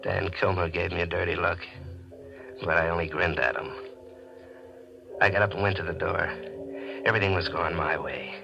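A scheming gambler confessing in a calculated way, his voice low. It is classic 1940s radio mystery drama, with music in an old broadcast recording. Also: normal speed, gravelly, long pauses, lo-fi sound. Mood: smug. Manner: calculated, confessional first-person telling. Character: cold, scheming gambler narrating his own murder.

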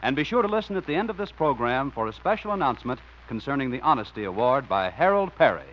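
A man making announcements and narrating a 1950s radio comedy in a crisp, declamatory way. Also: medium pitch, big pitch swings, fast talker, a little hiss or hum. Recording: muffled old radio broadcast.

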